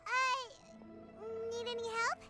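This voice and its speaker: A woman voicing a tiny, excitable female companion who talks about herself in the third person, in a game. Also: very high voice, slow, music in the background. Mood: wary. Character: tiny floating female companion, chirpy and nosy. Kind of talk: game voice acting.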